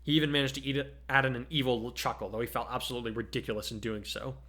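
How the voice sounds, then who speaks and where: A man's voice: mid-range voice, level tone, very fast, pushed and bright. A man narrating fan fiction in a dramatic, scripted storytelling style.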